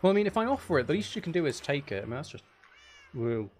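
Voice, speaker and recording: Playful, animated man chatting on a livestream. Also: fairly high pitch, fast talker, slight background noise. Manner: playful, silly, repeats phrases. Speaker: livestream host playing a video game. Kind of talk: casual gaming livestream chat.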